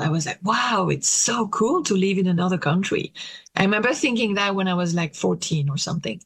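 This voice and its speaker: A woman in her late thirties with a French accent speaks English, her second language, in a relaxed interview, pausing often and restarting her sentences. Her voice has a gravelly edge, and the recording is close and dry. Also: medium pitch, no room sound. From France. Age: late 30s. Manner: hesitant, thoughtful, with many pauses and restarts. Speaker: interview guest. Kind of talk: casual interview conversation.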